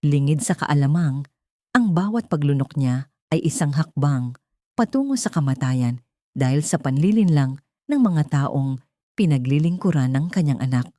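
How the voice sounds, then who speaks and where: A woman's voice: low-pitched, animated, normal speed, mellow, dry recording, clean studio sound. A woman narrating a scripted dramatic story in a solemn, emotive manner.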